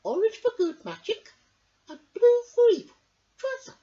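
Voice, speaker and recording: A woman voicing a knowing, teasing witch-like sister in a storybook, brisk and emphatic. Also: very high voice, big pitch swings, warm tone, voice pushed up. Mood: brisk, instructing. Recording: dull lo-fi home recording.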